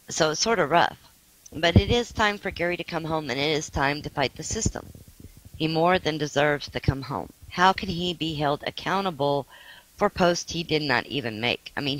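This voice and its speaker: A hoarse middle-aged woman making an earnest, indignant spoken appeal for support on behalf of a family member. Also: low-pitched, a bit bright, rough recording.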